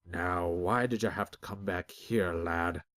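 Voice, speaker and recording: A man reading as a bitter old shopkeeper, a low, slow and slurring voice with rough folksy grammar. He sounds worn down, from an audiobook story. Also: fairly bright tone. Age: older.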